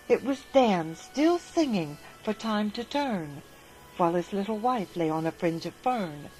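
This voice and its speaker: A woman reading a rhyming children's story aloud in a gentle, sing-song manner. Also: mid-range voice, poor audio. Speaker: narrator.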